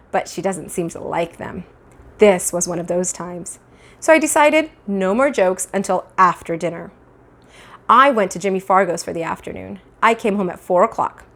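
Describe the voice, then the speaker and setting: A woman in her thirties reading a children's book aloud expressively, like a teacher with a class book. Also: mid-range voice. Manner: animated, acting out dialogue. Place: small indoor room at home. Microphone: clip-on lapel mic.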